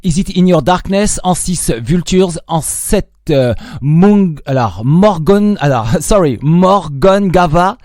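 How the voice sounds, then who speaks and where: A man's voice: high voice, animated, a little mellow, dry recording, studio quality. A man with a French accent hosting a live radio interview, switching between French and English and stumbling over foreign titles. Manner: stumbling, self-correcting on foreign titles, quick laughs. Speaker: radio host.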